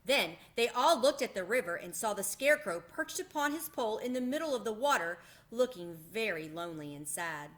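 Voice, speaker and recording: A woman with a bright, forward voice reads a children's chapter book aloud. Her register is medium and her storytelling expressive. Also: medium pitch, fairly quick, few pauses. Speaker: read-aloud host.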